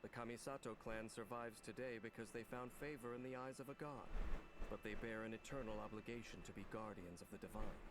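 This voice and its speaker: A man's voice: fairly low pitch, a little fast, noisy background. A man reading scripted narration for a game character showcase. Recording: loud music under the voice. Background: loud music.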